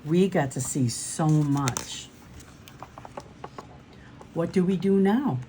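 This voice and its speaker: A woman's voice: sits low, slow, mellow, slight background noise, low-quality recording. A woman voicing curious, impatient young children on a trip in a storybook, questioning and exclaiming.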